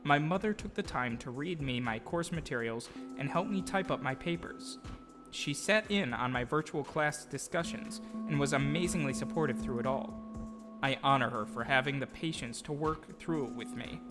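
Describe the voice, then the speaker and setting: Higher-pitched man narrating over music. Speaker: storyteller acting as himself. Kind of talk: scripted audio drama narration and acted dialogue.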